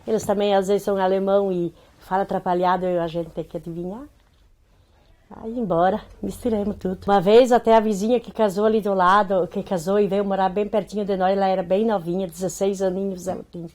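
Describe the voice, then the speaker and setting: A woman's voice: mid-range voice, fairly even intonation, fairly quick, darkish tone. A woman with a southern Brazilian accent chatting casually, dropping in frequent tag questions. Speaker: interviewee. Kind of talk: casual anecdote.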